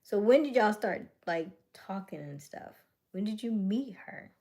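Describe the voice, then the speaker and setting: A woman in her late thirties hosting a casual livestream chat, teasing and playful, slipping into quiet asides. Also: medium pitch, lively intonation, a little slow. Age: late 30s. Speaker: livestream host. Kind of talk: casual live chat with viewers.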